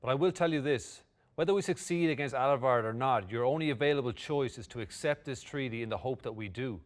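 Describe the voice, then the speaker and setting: A man playing a blunt, confident envoy in a fantasy table read, speaking in measured, formal speeches. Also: medium pitch, natural voice. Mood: cool, bold. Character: confident, flirtatious male envoy, blunt and unflinching.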